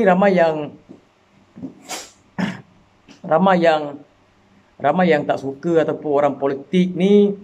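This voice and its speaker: Malaysian man, earnest personal appeal, mellow voice, slightly high. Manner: impassioned, repetitive, rising to emotional pleas. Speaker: citizen speaking out.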